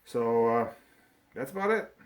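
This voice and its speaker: A man from Slovakia with a Slovak accent presents a casual, unscripted review, speaking haltingly and often repeating his words. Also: mid-range voice, animated. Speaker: video presenter reviewing firearms.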